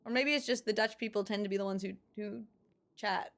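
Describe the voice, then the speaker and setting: A woman's voice: medium pitch, steady tone, brisk, a bit bright. A woman livestreamer chatting casually with her viewers, musing aloud and reading out their comments. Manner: chatty, musing, reads out chat.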